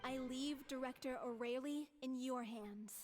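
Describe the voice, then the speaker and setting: A polite, probing troupe woman speaks formally in a high register. It is measured video game acting with faint music behind. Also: darkish tone. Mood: approving.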